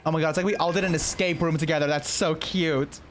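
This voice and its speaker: A streamer with a medium-pitched voice reacts casually to a video game. The talk is slangy and gushing, full of repeated phrases, with game music behind it. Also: fairly even intonation, fast talker. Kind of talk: casual playthrough reaction commentary.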